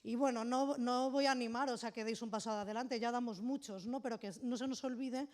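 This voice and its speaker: A woman's voice: fairly high pitch, fairly even intonation, fairly quick, darkish tone. A woman from Madrid giving earnest, emphatic political remarks from the floor of an assembly in a conference hall. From Madrid, Spain. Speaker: assembly participant.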